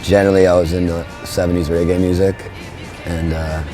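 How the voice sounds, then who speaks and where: A young man, a band's frontman, talking in a relaxed, rambling way with lots of fillers. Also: deep voice, a little fast, music in the background. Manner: laid-back, rambling, with frequent fillers. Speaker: band frontman. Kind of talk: relaxed interview talk.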